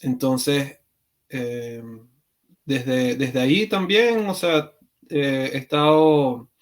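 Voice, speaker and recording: A man's voice: mid-range voice, drawn-out words. A man in his thirties from Venezuela, a podcast guest who talks reflectively, with many fillers and restarts. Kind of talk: conversational interview.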